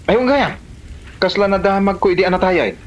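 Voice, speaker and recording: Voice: medium pitch, normal speed, highs cut off, a little hiss or hum, close to an everyday voice. An actor playing a nosy, teasing, bossy village neighbour in a comedy drama, with an Ilocano accent. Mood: teasing, gossipy. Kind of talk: Filipino comedy drama dialogue.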